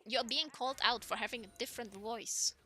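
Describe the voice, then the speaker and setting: A young woman with a squeaky voice, casting a live game in a chatty way with lots of ums and laughs. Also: fairly quick, sharp tone. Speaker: esports caster.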